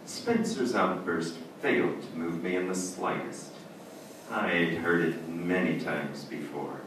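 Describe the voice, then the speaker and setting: An actor playing a stern, cold judge in old-time radio drama style, with formal, declamatory delivery. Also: male voice, low voice, slow pace. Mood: coldly weary. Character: stern, haunted male judge, cold and unbending. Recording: very echoey, distant, noisy stage recording.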